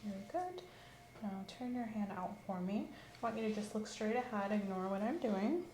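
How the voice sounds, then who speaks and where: Woman, ASMR exam roleplay, calm, instructive, soft-spoken, roomy sound, faint hiss. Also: medium pitch, moderate pace. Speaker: ASMR host performing an exam.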